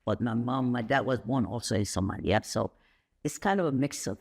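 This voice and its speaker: A woman who grew up in Saudi Arabia, a podcast guest telling stories in an animated, rambling way with sudden jumps up in pitch. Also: fairly quick. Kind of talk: conversational interview.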